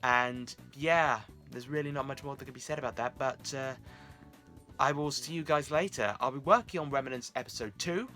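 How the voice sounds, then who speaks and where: A man's voice: mid-range voice, normal speed, bright, forceful tone, faint music behind. A man talking casually to his viewers as the host of a vlog.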